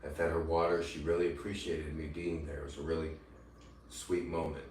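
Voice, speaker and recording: A man livestreaming an angry, profane rant that taunts his critics, now and then mimicking them in a shrill mocking voice. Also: level tone, fairly quick, a little mellow, a little hiss or hum. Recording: echoey room, voice from far off the mic.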